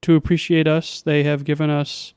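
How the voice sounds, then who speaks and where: Male podcast co-host, voice on the high side, wry and informal, lightly sarcastic chat in a dry recording. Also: flat delivery, moderate pace, darkish tone, clean tone, no echo. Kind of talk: casual chat.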